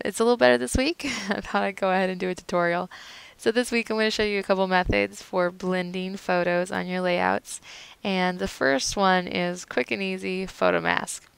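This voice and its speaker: A young woman, a little hoarse from a cold, casually talking through a software tutorial with fillers and self-corrections. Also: medium pitch, no room sound, poor audio. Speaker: tutorial host.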